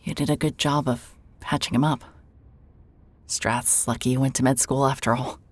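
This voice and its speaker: An actress playing a rueful, conflicted woman reporter with a husky voice and halting delivery in a naturalistic audio drama. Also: rumbling low, fairly even intonation. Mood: probing, gentle. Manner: hesitant, halting.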